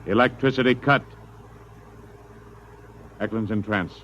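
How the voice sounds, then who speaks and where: Stern, low-voiced spaceship officer. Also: male voice, unhurried, a bit bright. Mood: crisp, formal. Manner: clipped, sarcastic, commanding. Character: stern, sharp-tongued spaceship first officer. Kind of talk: old-time radio drama acting. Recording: muffled, hissy vintage radio recording.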